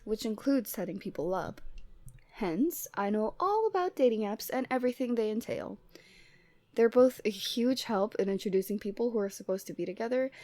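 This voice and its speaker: A woman playing a Cupid who is the listener's lovestruck best friend, in a conversational, expressive roleplay audio drama. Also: fairly high pitch, no room sound, close to an everyday voice. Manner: conversational, teasing, emotionally expressive.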